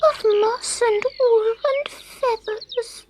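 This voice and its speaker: A tiny, plaintive girl bird in a children's story. The voice is very high, thin and tinkling, with a slow, tearful wistfulness. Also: fairly even intonation, noisy background. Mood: tearful wistful. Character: tiny, plaintive female blue tit.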